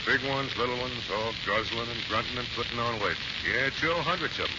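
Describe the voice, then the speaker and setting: An actor as a brash Marine, noisy old radio. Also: male voice, mid-range voice, brisk, natural voice. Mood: dreamy boasting. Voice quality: streetwise slangy delivery. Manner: boisterous, wisecracking. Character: brash ex-wrestler Marine, boastful dreamer, tough-talking. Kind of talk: 1940s radio drama acting. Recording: noisy, muffled 1940s radio recording.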